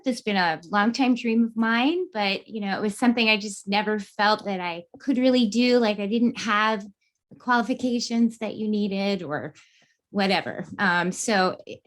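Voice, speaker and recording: A woman speaking casually about herself as a podcast guest. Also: medium pitch. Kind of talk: casual self-introduction.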